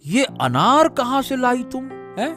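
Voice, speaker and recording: A man acts out a scheming village orchard owner from a cartoon fable. His voice sits high for a man and he blusters, barking repeated orders like a bully. Faint music runs behind him. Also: big pitch swings, measured pace, fairly bright tone, heavily acted. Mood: probing, sly. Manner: blustering, exclamatory, repeats commands. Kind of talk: Hindi cartoon moral-story voice acting.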